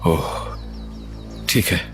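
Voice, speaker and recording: A man voicing an anxious male scientist in a cartoon story who speaks in a low, worried way. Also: drawn-out words, fairly bright tone, soft background music.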